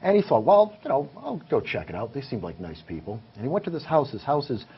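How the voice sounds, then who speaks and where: A man's voice: medium pitch, wildly swooping pitch, fast talker, husky. A man who is a paranormal investigator, talking as a guest in a casual interview and telling his findings in earnest detail. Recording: muffled, with faint hiss.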